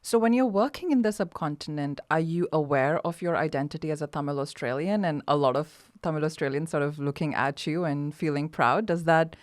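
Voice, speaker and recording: A woman from India with a low-pitched voice hosts an interview in a conversational way. Her voice sounds dry and close, with hardly any room sound. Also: brisk, few pauses, no room sound. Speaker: interviewer, host.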